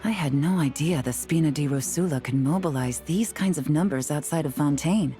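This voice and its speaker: A woman playing a composed, wry detective agent in a video game, speaking in a cool, measured, dryly sly way. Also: low-pitched, warm tone, faint music behind, close to an everyday voice. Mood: impressed, wry. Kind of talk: video game character dialogue.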